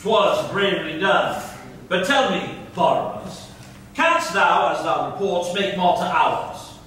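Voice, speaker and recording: An actor playing a proud, shrewd military commander in classical verse on stage, measured and declamatory. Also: male voice, sits high, expressive, a bit bright, heavily acted. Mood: imperious, curious. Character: proud conquering male commander, shrewd and imperious. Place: large indoor auditorium stage. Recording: echoey, noisy theatre from far off.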